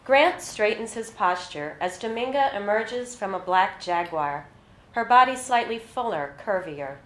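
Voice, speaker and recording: A woman's voice: mid-range voice, expressive, a little slow. A woman reading her own literary short story aloud at a live indoor event. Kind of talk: literary reading of scripted fiction to an audience. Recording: roomy, echoey live event recording.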